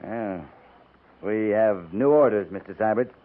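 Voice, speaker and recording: An actor playing a weary, principled cavalry captain in 1950s radio drama, clipped and grave in delivery. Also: male voice, on the low side, unhurried, slight background noise, close to an everyday voice. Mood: stern. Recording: muffled, narrow-band 1950s radio recording.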